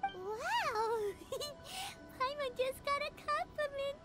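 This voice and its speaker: A woman voicing a tiny, cheerful, cheeky childlike companion in a video game, with a squeaky voice and bubbly manner. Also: expressive, slow pace, music in the background, exaggerated voice. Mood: excited. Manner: bubbly, speaks of herself in third person. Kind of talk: video game character acting.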